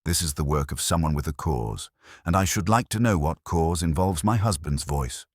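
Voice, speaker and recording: A man's voice: a bass voice, expressive, moderate pace, slightly rough, no echo, clean studio sound, heavily acted. A man voicing a poised, composed widow who speaks formally in a mystery audiobook.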